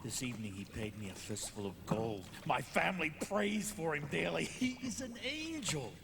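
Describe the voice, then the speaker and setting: An actor playing a humble, pious old Venetian gondolier in an audio drama, deferential and effusive. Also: male voice, a little high, very animated, moderate pace, vocal fry. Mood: effusive, admiring. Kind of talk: radio drama character dialogue. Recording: noisy waterside boat sounds behind.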